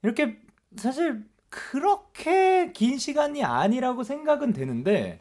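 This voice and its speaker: A young man hosts a radio show from a studio. His intonation moves up and down in a very lively way as he introduces segments and reads out messages. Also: very high voice, measured pace. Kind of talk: hosting a radio talk show, reading listener messages. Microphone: studio microphone with pop filter, close.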